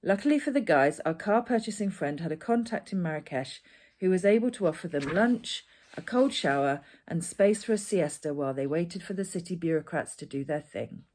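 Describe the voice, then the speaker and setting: Lower-voiced woman reading aloud. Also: lively intonation, fairly quick, darkish tone. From Edinburgh, Scotland. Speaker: author reading their own essay. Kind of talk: scripted personal narrative read aloud.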